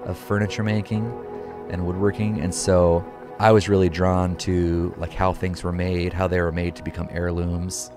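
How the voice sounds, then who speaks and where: A man's voice: low-pitched, level tone. A man in his thirties from the United States, a furniture maker speaking reflectively in a documentary interview, over background music. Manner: soft-spoken, reflective, unhurried. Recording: music bed underneath.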